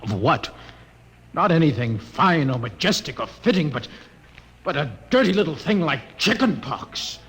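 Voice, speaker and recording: An actor playing an earnest, brooding, idealistic spaceman with a grave, halting delivery in a radio drama. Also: fairly high pitch, big pitch swings, a bit bright, breathy edge, in a large hall, exaggerated voice. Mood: ashamed, bitter.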